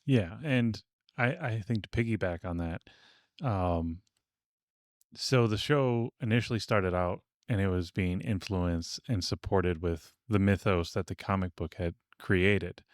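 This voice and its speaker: Low-voiced man explaining on a podcast. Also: a little slow, no room sound, clean studio sound. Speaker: podcast co-host. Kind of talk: casual panel discussion of old radio serials.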